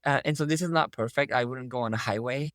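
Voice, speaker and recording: A man's voice: medium pitch, fast talker, a bit bright, dry recording, studio quality. A young man from Turkey, an MBA student, talking in a casual interview, thoughtful and full of "like" fillers. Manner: thoughtful, uses many "like" fillers.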